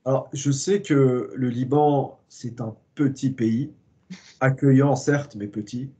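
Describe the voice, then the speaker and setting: A man interviewing his guest in a mid-range, ordinary voice. He builds his questions slowly, hesitating often and filling the gaps. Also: medium pitch, warm tone. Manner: hesitant, searching questions with many fillers. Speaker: interviewer host. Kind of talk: conversational interview.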